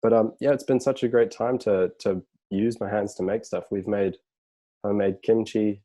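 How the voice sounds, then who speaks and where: A man chatting casually as a podcast guest over a video call, relaxed and rambling with fillers. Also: lowish voice, moderate pace. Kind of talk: casual chat.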